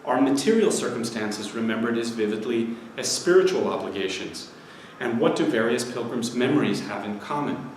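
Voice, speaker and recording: A man in his forties giving an academic history lecture, measured and explanatory, steadily listing places. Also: medium pitch, animated, slight background noise. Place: lecture hall. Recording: very echoey hall with faint ambience.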